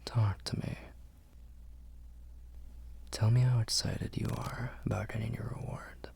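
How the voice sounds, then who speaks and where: A man playing a protective, tender vampire lord in an intimate ASMR roleplay, whispering in a breathy, husky, reassuring way. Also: fairly low pitch, steady tone. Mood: reassuring, coaxing. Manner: slow, hushed, reassuring. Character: protective, tender male vampire lord soothing his human.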